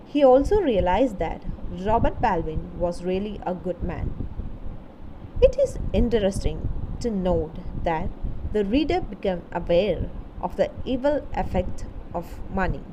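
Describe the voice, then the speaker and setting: Woman teacher reading out a lesson script, slow n deliberate, pretty noisy background. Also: medium pitch. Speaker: English literature teacher. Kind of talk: scripted educational narration.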